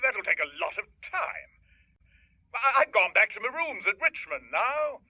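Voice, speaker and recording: An actor playing a flustered, reluctant English army major in a radio drama, upper-class and stammering, heard through a telephone filter. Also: male voice, very high-pitched, sing-song, measured pace, sharp tone, no room sound, heavily acted. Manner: flustered, hesitant, stammering repeats. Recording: tinny, narrow telephone line.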